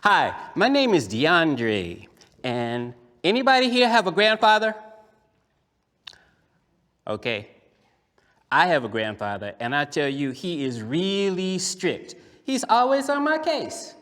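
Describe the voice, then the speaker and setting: A man voicing a lively, cheeky young boy puppet, telling a story to children in an emphatic, conversational theatrical style with deliberate pauses. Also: fairly high pitch, heavily acted. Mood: chatty. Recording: echoey hall, long reverb.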